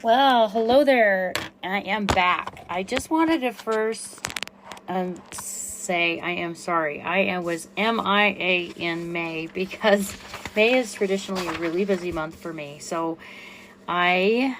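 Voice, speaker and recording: A middle-aged woman with a quiet voice hosting a solo podcast, chatty and self-effacing with frequent fillers. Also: fairly low pitch, takes it slowly, background noise. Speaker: podcast host and running coach. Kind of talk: casual solo podcast monologue.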